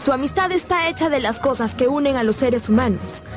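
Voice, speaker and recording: A female narrator reads scripted radio drama narration. Her voice sits somewhat high, with clear music running behind it. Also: expressive, a little fast.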